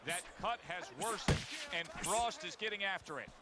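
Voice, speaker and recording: A man's voice: high-pitched, lively intonation, normal speed, very noisy. A man playing a keen professional boxing play-by-play announcer in a video game, giving sports commentary. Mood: focused.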